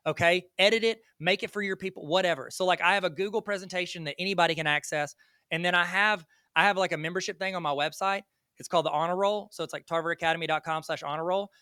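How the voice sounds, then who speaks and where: A man's voice: sits high, bright, forceful tone, clean tone, dry recording, clean studio sound. A man with a Southern US accent, a guest on an interview, talking in a rambling, joking, self-deprecating way. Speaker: guest educator. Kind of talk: casual interview chat.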